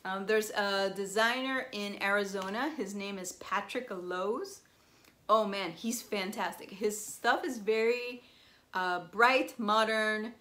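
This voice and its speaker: A woman's voice: mid-range voice, slow pace, fairly bright tone. A woman whose first language is Spanish, chatting in a bubbly, gushing way as she shows off her crafts. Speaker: crafting vlogger. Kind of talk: casual show-and-tell chat.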